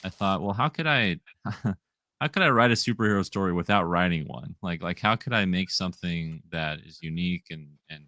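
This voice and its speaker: A man in his twenties with a deep, radio-style voice, talking easily and meanderingly as a comic writer guest in a casual interview. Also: a little fast, bright, forceful tone, no room sound. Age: 20s. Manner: easygoing, meandering sentences.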